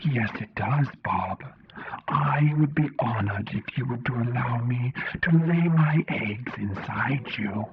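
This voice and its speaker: A man's voice: mid-range voice, animated, takes it slowly, highs cut off. A man voicing a solemnly polite, tentacled alien creature who speaks in a stilted, formal, literal way in an audio story. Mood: earnest, grave.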